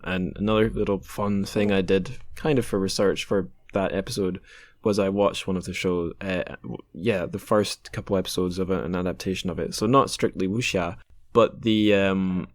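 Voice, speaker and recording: Low-voiced man hosting a casual podcast. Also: fairly even intonation, moderate pace. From Britain. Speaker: podcast host. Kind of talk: casual interview chat.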